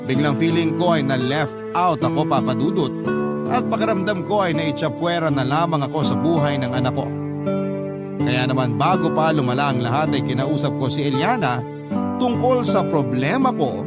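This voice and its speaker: A man's voice: fairly high pitch, expressive, moderate pace, natural voice. A man playing a hurt, jealous Filipino father, narrating his own story earnestly for a radio drama. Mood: wistful, hurt. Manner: earnest, confessional. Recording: loud guitar music under the voice.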